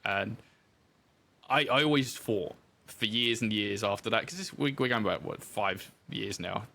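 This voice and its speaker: A man speaks as a guest in a relaxed podcast interview. His voice sits fairly low but has a very bright, forward edge. He talks informally and makes light of himself as he tells his story. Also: unhurried, creaky, slight background noise. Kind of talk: casual interview chat.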